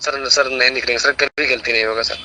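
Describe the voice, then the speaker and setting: A man's voice: mid-range voice, steady tone, fast talker, pushed and bright. A man working as a service agent, talking on an unscripted phone call in clipped, repetitive phrases.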